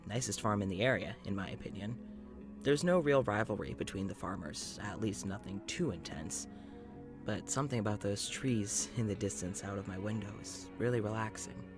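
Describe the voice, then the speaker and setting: A man's voice: lowish voice, moderate pace, over music, natural voice. A man playing a weary, rambling doctor in an audio-drama journal, musing aloud in a hesitant, self-conscious way. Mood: musing, uneasy. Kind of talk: intimate audio-journal monologue in a fiction podcast.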